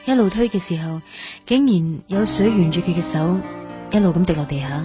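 An actress playing a dreamy, gently stubborn young female patient in a radio drama. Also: on the low side, normal speed, soft background music, natural voice. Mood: uneasy, dreamy. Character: young woman recovering from heart transplant, dreamy, gently stubborn. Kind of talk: Cantonese radio drama acting with first-person narration.

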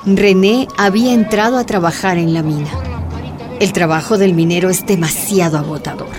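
A woman reading scripted narration for a radio drama in a dramatic, deliberate storytelling way. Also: fairly low pitch. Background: music.

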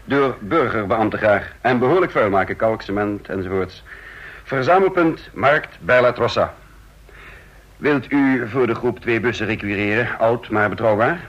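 Hese, gebiedende operatieleider. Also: male voice, lowish voice, normal speed, a bit bright. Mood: brisk commanding. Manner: clipped, authoritative, matter-of-fact. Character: commanding, shrewd male operation leader, brisk and self-assured. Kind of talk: Dutch radio drama dialogue. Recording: dull old radio recording.

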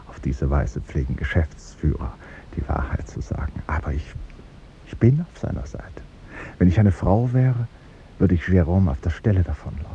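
An actor playing a pompous, effusive, self-important restaurant manager in a 1950s radio play. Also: male voice, deep voice, dark tone. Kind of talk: 1950s German radio drama acting. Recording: dull, noisy 1950s radio recording.

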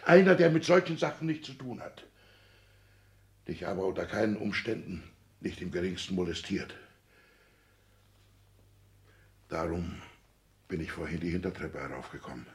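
A man's voice: deep voice, lively intonation, fairly quick, creaky, roomy sound. An actor playing an indignant, anxious old petty-bourgeois father, a minor official, theatrically agitated in the style of 1960s German radio drama. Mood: pleading, weary. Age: older. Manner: agitated, theatrical, swinging between outbursts and hushed lament.

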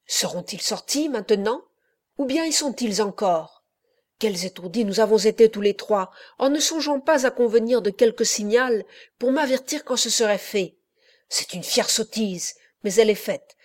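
A woman reading aloud, voicing a worried older peasant mother who mutters hushed asides to herself. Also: on the high side, very animated, a little slow. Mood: worried whisper.

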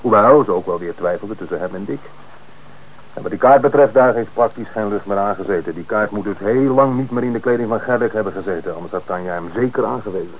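An actor playing a calm police dog handler who firmly explains his dog's work in an old radio play. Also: lowish voice, fast, a little hiss or hum, lightly acted, rough recording.

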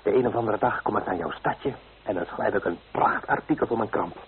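A man's voice: lowish voice, fairly quick, a little hiss or hum, close to an everyday voice. An actor playing a kindly, fatherly newspaper writer in a radio play, reassuring and paternal in manner. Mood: warmly decisive. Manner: reassuring, paternal, murmured hms. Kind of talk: Dutch radio play acting. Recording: thin, phone-like old radio recording.

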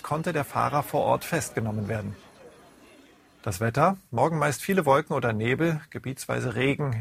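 A man with a standard German accent reading a scripted news bulletin in a formal, measured way. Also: mid-range voice, creaky, fairly bright tone. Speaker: news reader.

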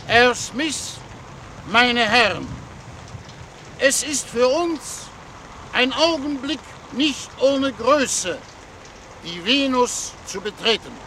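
An actor playing a pompous foreign minister who speaks in halting, ceremonious phrases in a 1950s German radio drama. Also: male voice, extremely high pitch, expressive, full character voice. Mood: solemn, pompous. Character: pompous male foreign minister, a stately diplomat. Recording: loud storm noise, old radio play.

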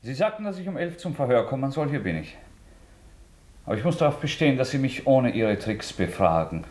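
An actor playing a tormented, defiant young murderer in a German radio drama, halting and agitated, moving between whispers and outbursts. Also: male voice, medium pitch, sing-song, a little mellow.

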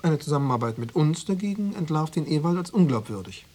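An actor playing an insinuating Stasi officer in a radio drama, probing and coaxing with cool formality and veiled menace. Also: male voice, fairly high pitch, expressive, fast talker, darkish tone. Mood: veiled threat. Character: insinuating male Stasi officer pressuring an informant. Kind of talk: German radio drama dialogue.

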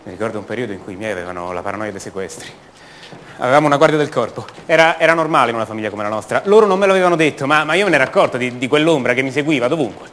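A man's voice: mid-range voice, animated, fairly bright tone, slight background noise, natural voice. An actor playing a restless, defensive young man from a privileged family in a radio monologue, hesitating and repeating words. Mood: wistful. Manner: hesitant, halting, repeats words, rhetorical tags. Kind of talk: theatrical monologue, radio drama.

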